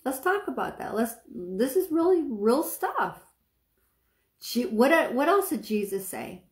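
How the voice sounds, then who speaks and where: Woman, middle-aged, soft speaking voice, slightly high, casual preacher, asks listeners questions, echoey room. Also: very animated, slow pace. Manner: chatty, asks the viewer questions, stretches words for emphasis. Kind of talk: informal sermon to camera. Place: small room indoors, seated.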